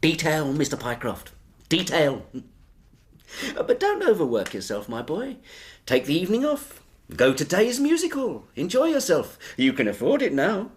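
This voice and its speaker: A man's voice: on the high side, huge pitch swings, normal speed. An actor playing a pompous, avuncular, slyly jovial male employer in a period radio drama, with a patronising, theatrical manner. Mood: confiding, avuncular.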